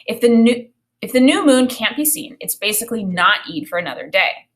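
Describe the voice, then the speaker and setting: A woman reading a children's story aloud in an expressive narrating style. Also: fairly high pitch, brisk.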